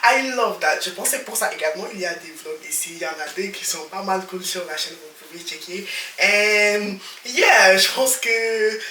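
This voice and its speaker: Young female vlogger, casual and loose, code-switches constantly, crisp bright tone, light background hiss. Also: medium pitch, lively intonation. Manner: chatty, switches between French and English, quick laughs. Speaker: channel host. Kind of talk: casual vlog talk to camera. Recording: echoey room with faint noise.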